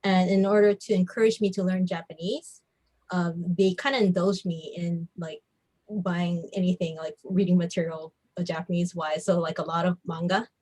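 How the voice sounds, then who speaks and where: A woman's voice: lowish voice, steady tone, normal speed, warm tone, rough recording. An American woman from Hawaii casually telling her own story in an interview, informal and often saying "like". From Hawaii, United States. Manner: informal, frequent "like". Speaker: comic book artist, podcast guest.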